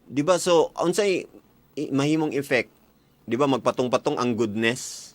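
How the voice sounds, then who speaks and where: A man's voice: medium pitch, animated, moderate pace. A man with a Bisaya Filipino accent hosts a casual call-in advice show, slipping English phrases into his talk. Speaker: radio show host. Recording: loud music bed behind voice. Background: music.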